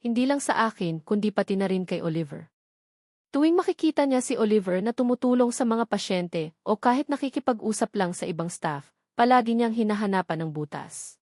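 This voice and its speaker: A woman narrating a first-person romance story in a gentle, reflective manner into a close mic. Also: mid-range voice, lively intonation, brisk, no echo.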